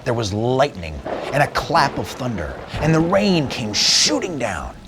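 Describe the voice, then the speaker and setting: Animated man reading a storybook, swooping pitch. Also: noisy background. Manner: theatrical, dramatic phrasing and pauses. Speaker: storybook reader. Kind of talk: expressive scripted storybook narration.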